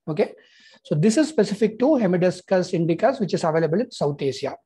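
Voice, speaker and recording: A man in his thirties with a Tamil accent and a slightly husky, breathy voice talks casually to camera in English, his native language being Tamil. He fills pauses with "uh" and "you know" as he explains things in a halting, friendly vlog manner, recorded on a lapel mic. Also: high voice, expressive, mellow. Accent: Indian English, Tamil. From Tamil Nadu, India. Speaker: health insurance consultant.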